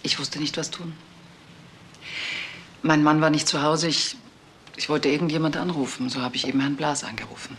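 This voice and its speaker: An actress playing a bitter, betrayed middle-aged wife and mother who confesses haltingly in a crime radio play. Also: low voice, steady tone, slight background noise, natural voice. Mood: strained confessing.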